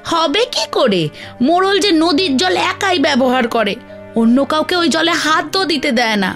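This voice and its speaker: A woman voicing a sharp-tongued, weary village wife in a cartoon, scolding and complaining theatrically. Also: high voice, expressive, normal speed, faint music behind. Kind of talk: Bengali children's cartoon dialogue.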